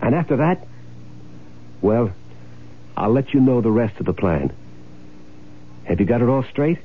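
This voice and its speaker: An actor playing a scheming, greedy, domineering husband in an old-time radio drama, speaking impatiently. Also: male voice, mid-range voice, lively intonation, unhurried, a little hiss or hum, lightly acted. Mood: commanding, scheming. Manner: domineering, impatient, barking orders. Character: scheming, bossy, greedy husband running an insurance swindle. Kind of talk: old-time radio drama acting, first-person noir narration. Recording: muffled old radio broadcast, music behind.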